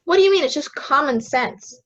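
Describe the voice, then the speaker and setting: Impatient, dismissive juror, played by a woman, fairly high voice, dull home-recorded audio drama. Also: expressive, a little fast, fairly bright tone. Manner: curt, dismissive. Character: stubborn, impatient juror sure of guilt. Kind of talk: amateur audio drama acting.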